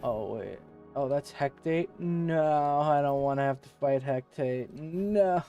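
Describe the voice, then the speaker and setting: A man's voice: on the high side, takes it slowly, warm tone, dull audio. A man giving casual gameplay commentary as a streamer, half talking to himself and half chatting to viewers. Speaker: game streamer. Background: game music.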